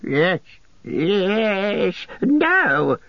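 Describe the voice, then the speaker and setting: A man's voice: sits high, big pitch swings, extremely slow, no room sound, pitched above the performer's own voice, full character voice. A man voicing a wretched, split-minded creature who whines, hisses and shrieks. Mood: shrieking. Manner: whimpering, shrieking, hissing. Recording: dull lo-fi dry recording.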